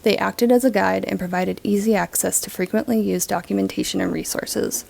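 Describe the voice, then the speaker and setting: A woman delivers a scripted project presentation. Her medium-pitched voice is strongly creaky throughout. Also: steady tone, fairly quick, darkish tone.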